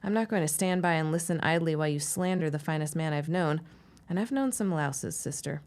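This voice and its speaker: An actress playing a plainspoken, spirited young shopkeeper in a period audio drama, with a wry, forthright manner. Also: female voice, deep voice, brisk, darkish tone. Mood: defiant.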